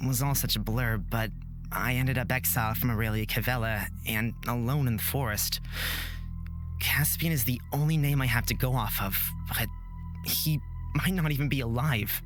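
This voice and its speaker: Earnest, low-pitched young man. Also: normal speed, music in the background. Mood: wistful. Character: earnest, impulsive exiled young man searching for his father. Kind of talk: visual novel character acting.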